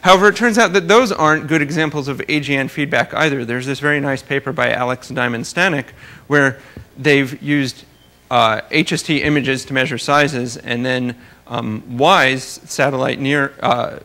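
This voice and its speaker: A man with a bright, forceful voice and frequent vocal fry, presenting research in an academic lecture. His pitch sits in an ordinary male range. He speaks haltingly, often rewording mid-sentence. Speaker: astrophysics researcher presenting at a conference. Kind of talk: academic lecture talk with slides.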